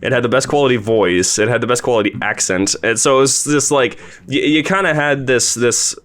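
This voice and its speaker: A man's voice: medium pitch, fairly quick, fairly bright tone. A man with an informal, rambling, appreciative way of talking, hosting a casual podcast commentary chat. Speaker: host and series creator interviewing a voice actor.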